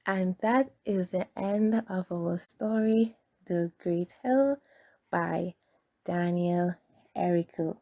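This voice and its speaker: A woman teacher reading a storybook aloud for young children, with deliberate, simple phrasing. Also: on the low side, mellow.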